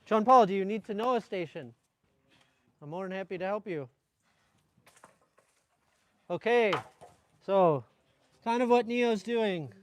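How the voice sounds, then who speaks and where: A British man teaching a kung fu class, calling out energetic instructions to the group. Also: sits high, measured pace, long pauses. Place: training ground at a mountain retreat.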